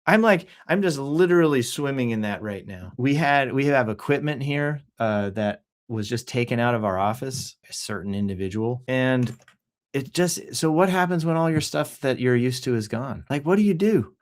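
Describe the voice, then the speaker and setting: A middle-aged man hosting a livestream, talking casually and jokily in an animated, rambling, off-the-cuff way with rhetorical questions. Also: medium pitch, moderate pace. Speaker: host of a livestream news show. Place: office.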